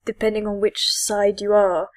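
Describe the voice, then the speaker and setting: A woman's voice: mid-range voice, flat delivery, normal speed, no room sound. Casual woman podcast co-host, dry sound. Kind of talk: casual gaming discussion.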